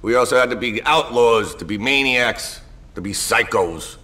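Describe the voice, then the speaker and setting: An actor playing a wistful, philosophical outlaw biker in a video game cutscene, reflective and resigned. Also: male voice, medium pitch, normal speed, sharp tone, lightly acted.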